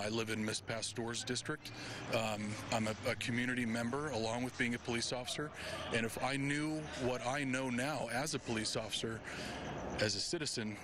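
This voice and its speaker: Man at a public meeting, crowd behind. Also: medium pitch. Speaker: police officer speaking at a public meeting. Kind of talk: public comment.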